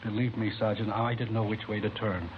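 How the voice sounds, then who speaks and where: An actor playing a worried high school principal with an American accent, earnest and hesitant, in 1950s radio drama. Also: male voice, flat delivery, fairly quick, lightly acted. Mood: anxious. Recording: dull, hissy old radio recording.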